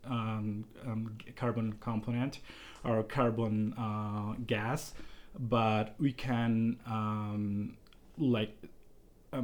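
A young man from Bangladesh, a PhD student, answering interview questions in a halting way with many pauses and restarts. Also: fairly low pitch. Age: young adult.

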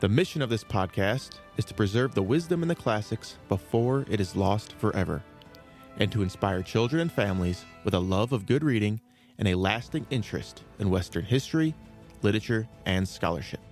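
A man hosting a children's story podcast, reading a scripted introduction in a measured, presentational way. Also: lowish voice, lively intonation, soft background music. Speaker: podcast host.

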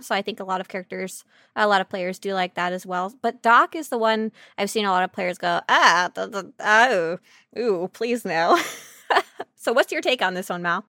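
Bright, silly woman podcaster bantering. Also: mid-range voice, no room sound, clean studio sound. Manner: silly, joking. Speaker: podcast co-host. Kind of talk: casual playful banter.

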